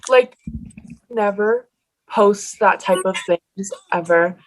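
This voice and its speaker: A woman's voice: mid-range voice, takes it slowly, low-quality recording. A teenage girl with a Mexican accent speaks as a guest on a video call. She talks in a chatty way and sounds upset. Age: teens. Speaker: guest, fan page owner.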